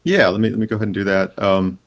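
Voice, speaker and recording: Low-voiced man explaining with many pauses. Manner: hesitant, many "um" and "uh" pauses and restarts. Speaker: game studio head, interview guest. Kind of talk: casual interview, explaining a game.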